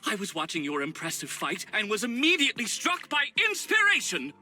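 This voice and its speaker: An actor playing an excitable, gushing and theatrical film-set man in a video game. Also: high-pitched, fairly quick, soft background music, heavily acted. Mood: inspired. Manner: gushing, dramatic.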